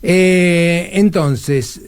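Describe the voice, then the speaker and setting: An older Argentine man with a Buenos Aires accent hosts a relaxed radio conversation. His voice sits at an ordinary male pitch. He talks in a rambling way, often repeating words and changing course mid-sentence. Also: drawn-out words, clean tone. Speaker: radio host. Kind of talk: casual conversational interview.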